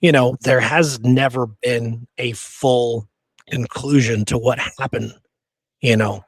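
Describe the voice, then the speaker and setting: A man's voice: mid-range voice, fairly even intonation, slow, breathy edge, dry recording. A man co-hosting a history podcast in a casual, conversational style.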